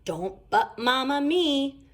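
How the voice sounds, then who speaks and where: A woman's voice: sits high, expressive, takes it slowly, voice pushed up. A woman voicing a bossy, exasperated mother bear in a storybook read-aloud, scolding with heavy emphasis. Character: bossy, exasperated Mama Bear, as cubs mimic her in a play.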